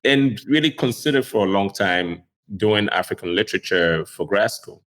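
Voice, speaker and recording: A middle-aged man from Ghana speaks in a very deep, low voice. He answers interview questions in a relaxed way, with plenty of "um" and "you know" and the odd chuckle. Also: normal speed. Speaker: neurosurgeon guest.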